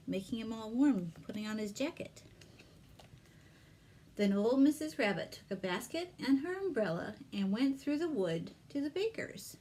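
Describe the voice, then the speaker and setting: A woman's voice: medium pitch, dark tone, slight background noise. A woman hosting a children's storytime as a librarian, reading expressively and addressing young listeners with questions and exclamations.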